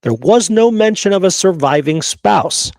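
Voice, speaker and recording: A man's voice: high-pitched, expressive, measured pace, gravelly, a little mellow, no echo. Emphatic man presenting history research, dry sound. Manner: emphatic, checks in with rhetorical right and okay. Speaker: history show host. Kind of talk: presenting research to listeners, partly reading prepared notes.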